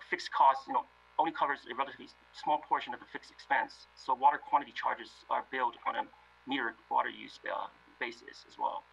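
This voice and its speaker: A man, a city finance director, presenting a staff report in a remote video meeting, measured and full of fillers. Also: mid-range voice, gravelly, bright, forceful tone, highs cut off, slight background noise.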